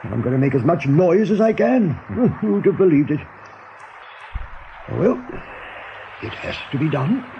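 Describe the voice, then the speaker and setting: An actor in a radio play voicing a blustering, soldierly rabbit captain, bluff and hearty. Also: male voice, fairly high pitch, very animated, measured pace, vocal fry, pauses often, full character voice. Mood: wry, resolute. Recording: muffled, faintly noisy radio play recording.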